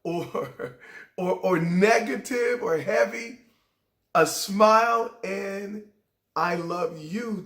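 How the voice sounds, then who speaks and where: A middle-aged man hosting a show, telling a heartfelt personal story in a raspy voice that sometimes cracks. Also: sits high, a bit bright, roomy sound. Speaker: show host. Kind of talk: inspirational personal talk.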